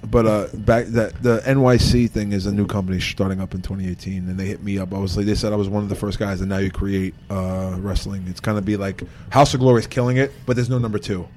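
New york guy, lowish voice, talks fast and rambly, bit of faint background noise. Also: steady tone, a little mellow. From Staten Island, New York. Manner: rambling, restarts often, storytelling. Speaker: podcast guest, professional wrestler. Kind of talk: casual chat.

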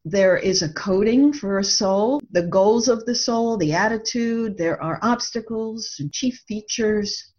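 A woman's voice: mid-range voice, unhurried, few pauses, a little mellow, highs cut off. A woman speaking as an author being interviewed, reflective and earnest, telling her story and voicing her own thoughts. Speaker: author and radio drama writer, interview guest.

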